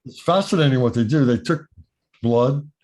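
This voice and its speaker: Man, even mid pitch, frank and matter-of-fact, voice altered by illness, video call. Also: normal speed. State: voice changed by illness. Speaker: meeting participant. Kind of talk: casual video call chat.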